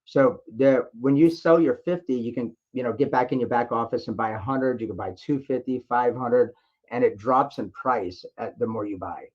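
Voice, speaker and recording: A man's voice: mid-range voice, normal speed. Man hosting a sales call, dull audio. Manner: repeats phrases, encouraging. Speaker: host of a distributor conference call. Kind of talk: sales call question-and-answer. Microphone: conference call line.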